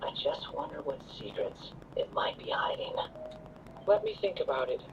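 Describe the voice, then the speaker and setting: A man playing a youthful, thoughtful and hesitant adventurer in a game, speaking softly and pensively. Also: fairly high pitch, sing-song, moderate pace, whispered, far from the mic, muffled recording.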